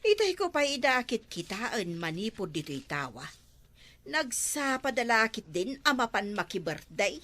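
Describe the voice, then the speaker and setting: A woman's voice: fairly high pitch, big pitch swings, unhurried, sharp tone. A woman with an Ilocano accent playing a nosy, chatty wife in a comedy drama. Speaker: gossiping wife and mother.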